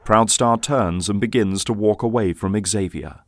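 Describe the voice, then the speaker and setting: A male narrator tells a story in scripted, dramatic style. His voice is on the low side and recorded close and dry, with no room sound. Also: moderate pace, no echo.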